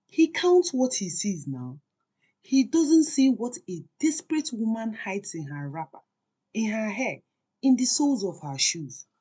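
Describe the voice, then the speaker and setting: A woman's voice: a little high, big pitch swings, unhurried, warm tone, husky, pitched above the performer's own voice, exaggerated voice. A woman voicing a long-suffering, defiant wife in a folktale, solemn and conspiratorial. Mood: proud, secretive. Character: Bolanle, grave, defiant, long-suffering secretive Nigerian wife.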